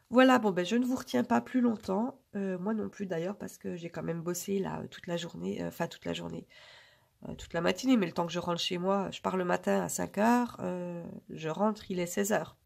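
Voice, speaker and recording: Hoarse, gravelly woman vlogging casually. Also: medium pitch, darkish tone. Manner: chatty, rambling, many filler pauses. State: hoarse from a cold. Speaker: budgeting vlogger. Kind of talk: casual chat to viewers.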